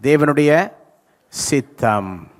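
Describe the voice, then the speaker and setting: A man's voice: a little high, lively intonation, extremely slow. An older male pastor preaching a sermon into a handheld microphone, stretching key words and calling for answers from the congregation. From South India. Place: large church stage. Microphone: handheld mic. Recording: live church hall reverb.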